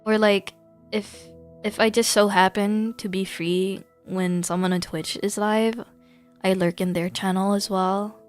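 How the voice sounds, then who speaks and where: A woman's voice: medium pitch, steady tone, unhurried. Chatty woman livestreamer with music behind. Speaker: live streamer. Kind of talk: casual gaming livestream chat. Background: background music.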